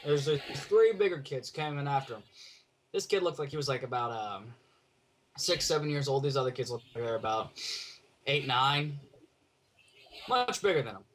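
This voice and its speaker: A man telling a casual story over an online voice call, blunt and sweary, in halting phrases. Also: mid-range voice, expressive, a bit bright. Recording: noisy online call with clicks.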